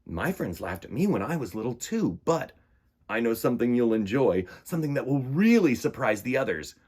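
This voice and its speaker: A man's voice: medium pitch, big pitch swings, moderate pace, darkish tone, breathy edge. Guy reading as a kind daddy penguin from a picture book, soothing n encouraging, voice moves around lots. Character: gentle fatherly male penguin comforting his young son. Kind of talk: children's storybook character voice.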